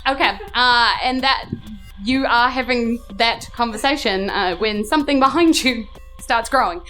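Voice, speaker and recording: A woman's voice: fairly high pitch, normal speed, a bit bright, rough recording. A woman running a live tabletop game as game master, narrating in a casual, playful way and often rephrasing as she improvises. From New Zealand. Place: live on stage at a club. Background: music under the voice.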